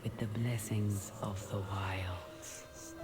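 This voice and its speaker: An actress playing a solemn, tender night elf leader in a fantasy game, hushed and breathy. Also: female voice, extremely low pitch, fairly even intonation, extremely slow, heavily acted. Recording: game music behind.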